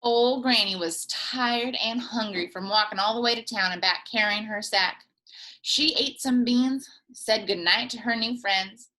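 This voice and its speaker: A woman reading a children's picture book aloud in a playful, expressive way, as a teacher does for young listeners. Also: mid-range voice, rough recording. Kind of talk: animated children's storybook read-aloud.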